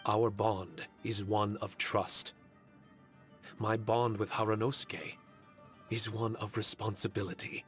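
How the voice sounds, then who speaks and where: Gentle game spirit, lowish voice, solemn, dutiful, measured, earnest, faint music behind. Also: male voice, flat delivery. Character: gentle, solemn male spirit servant, dutiful and self-doubting. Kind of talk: anime-style video game voice acting.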